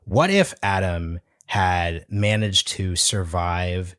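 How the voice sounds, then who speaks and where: Low-voiced man asking rambling questions. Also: takes it slowly, a bit bright, clean tone, no echo, studio quality. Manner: thinks aloud, long rambling questions. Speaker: podcast host. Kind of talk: conversational interview questions.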